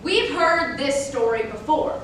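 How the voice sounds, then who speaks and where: A woman's voice: expressive, measured pace, fairly bright tone. A woman delivering scripted lines in a rehearsed reader's theater performance. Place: large echoey room, distant microphone. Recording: very echoey hall, distant, faint rumble.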